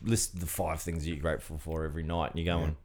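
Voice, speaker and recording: Low-pitched male podcast co-host, rambling and agreeable. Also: little pitch movement, fast, darkish tone. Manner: thinking aloud, frequent restarts, agreeable. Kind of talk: casual chat.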